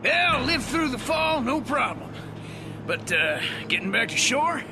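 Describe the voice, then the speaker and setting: High-pitched, brash sidekick guy. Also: sing-song, measured pace, noisy background, exaggerated voice. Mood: joking, casual. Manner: joking, slangy, loose. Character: brash, wisecracking slacker sidekick, adult man. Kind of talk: scripted game dialogue. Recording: loud game music behind.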